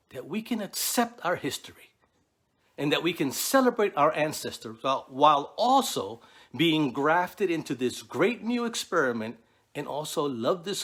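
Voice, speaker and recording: Middle-aged male chaplain, earnest preacher cadence, lively rising and falling delivery. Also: a little high. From New York City. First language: Spanish. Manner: earnest, preacherly, rhythmic lists. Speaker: keynote speaker, Navy chaplain. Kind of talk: prepared speech.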